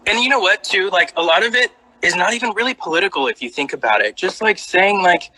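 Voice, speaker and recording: A male interviewer with a lively, bouncing delivery and a bright tone. He chats casually over a video call and stops often for likes and ums. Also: high-pitched, quick pace, dry recording, poor audio.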